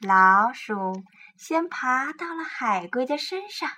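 Female children's storyteller, high and very lively pitch, unhurried delivery, coaxing and expressive. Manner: expressive, coaxing, addresses young listeners with questions. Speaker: children's storytelling show host. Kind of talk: animated picture-book bedtime storytelling. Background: music.

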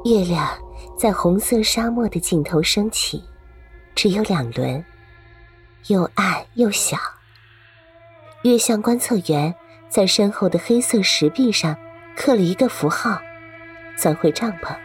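A woman's voice: mid-range voice, lively intonation, unhurried, pauses often, faint music behind. A woman reading scripted story narration for an audio drama.

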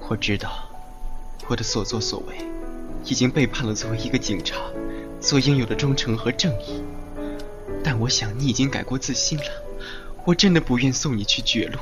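A man playing a lovesick undercover policeman in an audio drama, confessing softly and hesitantly in an intimate tone. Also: medium pitch, lightly acted. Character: lovesick male undercover policeman confessing on tape, tender, guilty. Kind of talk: Chinese amateur audio drama monologue. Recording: voice under loud background music. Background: loud music.

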